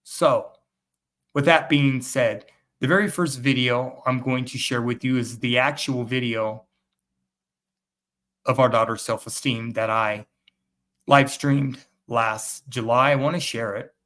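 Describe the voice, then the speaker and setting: An earnest man in his fifties hosts a livestream from his webcam, his voice sitting at an ordinary middle pitch. He speaks in an emphatic, motivational way, turning again and again to the fathers he is speaking to. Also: steady tone, unhurried, fairly bright tone. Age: 50s. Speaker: host and fatherhood author. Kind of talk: motivational talk to viewers.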